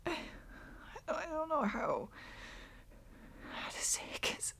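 A woman playing a tearful, insecure girlfriend in an ASMR roleplay, crying and speaking in halting, breathy, self-deprecating phrases. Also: high-pitched, big pitch swings, a bit bright, exaggerated voice. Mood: sad, insecure. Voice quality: breathy, whispery. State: crying, sniffly. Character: tearful, insecure young girlfriend seeking comfort.

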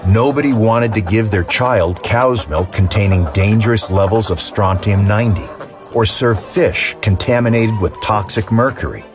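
A man reading scripted documentary narration as the narrator. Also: on the low side.

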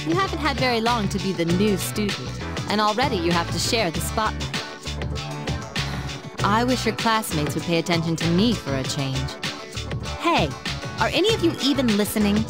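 A wry, self-pitying schoolteacher in an anime game voiced by a woman. She lectures with animated pitch and dry exasperation while music plays clearly behind her. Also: moderate pace, long pauses, close to an everyday voice. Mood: exasperated. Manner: instructive, dryly exasperated. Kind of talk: anime video game dub acting.

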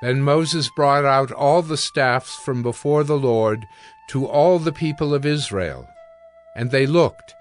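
Male scripture narrator over soft music. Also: mid-range voice, takes it slowly.

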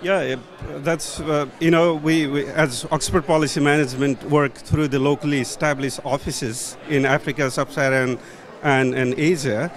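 A man's voice: a little high, steady tone, normal speed. Earnest middle-aged man with Nepali accent. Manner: earnest, searching for words. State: a little tired from travel. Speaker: conference guest, policy professional. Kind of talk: conference interview. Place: conference hall booth, indoors. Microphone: handheld mic held by interviewer.

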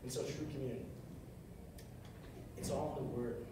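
A man's voice: mid-range voice, steady tone, normal speed. Young guy preaching earnest in a big echoey hall, taped from way back, hissy. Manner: earnest, repeats phrases for emphasis. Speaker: short sermon speaker. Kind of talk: sermon. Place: large carpeted hall, on a stage at a music stand. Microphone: far camera across the room. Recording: echoey hall from far off, with noise.

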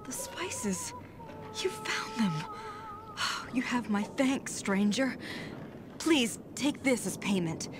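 An actress playing a melancholy, ill-fated young merchant in a video game, wistful and dramatic. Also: female voice, high-pitched, sing-song, slow pace, exaggerated voice. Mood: relieved, grateful. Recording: loud music behind voice.

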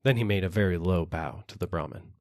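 A man narrating a children's fable as an expressive audiobook reader. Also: sits low, fast talker, no echo, clean studio sound. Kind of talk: expressive scripted storybook narration.

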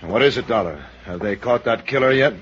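A man's voice: mid-range voice, animated, fairly quick, close to an everyday voice. An actor playing a scornful, sarcastic American man, a cornered killer, in a radio drama. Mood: indignant. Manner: sarcastic, dismissive. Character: scornful, cornered television comic turned killer. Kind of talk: 1950s radio drama acting. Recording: dull, noisy old radio recording.

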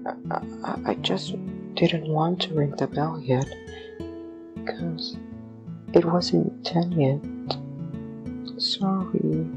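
Woman as a slow, creaky, timid ghost. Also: low voice, over music, full character voice. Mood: timid, downcast. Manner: hesitant, stammering, trailing off. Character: shy, mournful, apologetic young male ghost. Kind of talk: audio drama acting.

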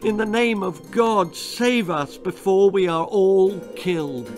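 A high, wailing storybook traveller begging desperately , as put on by a male reader. The pleading is urgent and beseeching, with music behind it. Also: slow.

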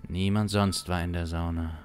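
A man in his thirties narrating an improvised suspense story in a deliberate, dramatic way, close to a studio microphone. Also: sits low, steady tone, darkish tone, faint music behind. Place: small indoor streaming studio. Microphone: close studio microphone on boom arm.

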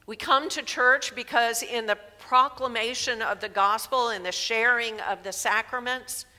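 A woman in her sixties preaching a sermon in a church sanctuary through a headset mic, measured and emphatic. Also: on the high side, bright, forceful tone. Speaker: pastor. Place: large church sanctuary, indoors. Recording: long reverb of a church hall.

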